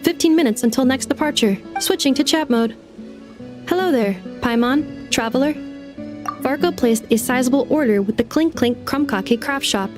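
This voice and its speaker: A woman's voice: sits high, normal speed, over music, lightly acted. A woman voicing a polite, procedural delivery robot in a video game, speaking in formal, clipped announcements. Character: polite female delivery robot with flat machine temper.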